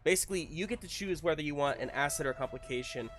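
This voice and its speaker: A man's voice: a little high, quick pace, music in the background. A man running a tabletop roleplaying game, narrating casually and checking in with his players in an encouraging way.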